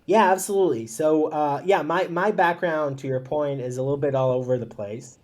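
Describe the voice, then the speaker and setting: A man's voice: on the high side, fairly quick, darkish tone. A man, a startup founder chatting casually and self-deprecatingly as a podcast guest. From Israel. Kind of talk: casual interview conversation.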